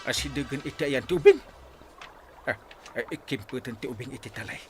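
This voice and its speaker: A man acting a gruff, selfless village captain in a drama serial, speaking haltingly with many interjections. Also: medium pitch, big pitch swings, faint music behind. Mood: tired, concerned.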